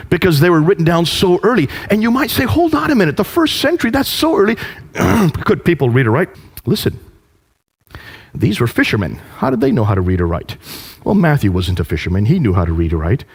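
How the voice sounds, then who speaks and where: A man preaching with a lively, swooping pitch and emphatic rhetorical questions. His voice is a little husky, and it rings out with a long hall reverb. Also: a little fast. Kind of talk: preaching lecture.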